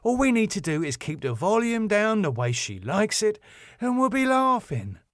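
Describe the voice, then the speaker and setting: A man's voice: high voice, very animated, moderate pace, no room sound, clean studio sound, voice pushed up. A man voicing a cheeky, glib music promoter who wisecracks and placates with a flippant manner. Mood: placating.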